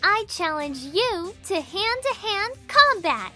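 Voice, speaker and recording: A cocky, sarcastic young hedgehog heroine, as a woman voices her talks in a very high, lively voice. She speaks slowly while music plays clearly behind. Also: heavily acted. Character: confident young female hedgehog hero, sarcastic. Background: background music.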